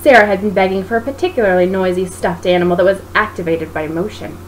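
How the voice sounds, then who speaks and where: A woman reading a story aloud as narrator, in an expressive style. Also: medium pitch, fast talker. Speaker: author reading own book. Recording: noisy home recording.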